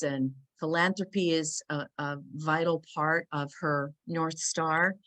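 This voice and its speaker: A woman with a somewhat low voice hosts a talk show interview. She speaks with enthusiasm and fills her pauses with ums. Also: a bit bright. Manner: enthusiastic, many fillers. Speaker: host.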